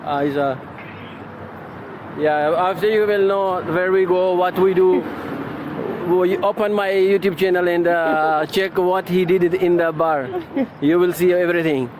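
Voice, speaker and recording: A man walks a city street at night filming a vlog on his phone, chatting with friends in a halting way full of fillers. His voice sits a little high. Traffic rumbles clearly around him. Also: fairly even intonation. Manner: halting, many fillers, switches languages mid-sentence. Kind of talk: casual street vlog chat. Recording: loud street traffic behind.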